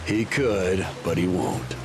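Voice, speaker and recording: A man's voice: sits low, expressive, slow, vocal fry, over music, heavily acted. A man voicing an easygoing pirate crewman in a video game, with a breezy, colloquial manner.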